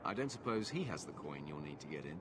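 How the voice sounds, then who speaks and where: An actor playing a weary, cynical guard at a gate in a video game. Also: male voice, a bass voice, big pitch swings, fast talker, noisy background. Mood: dry, cynical. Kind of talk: video game cutscene acting.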